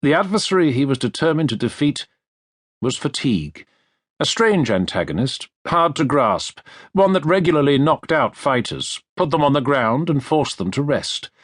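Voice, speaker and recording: A man reading a nonfiction history audiobook as a narrator, with measured, deliberate phrasing, close to a studio microphone. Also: a little high, big pitch swings, no room sound. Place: dry recording studio.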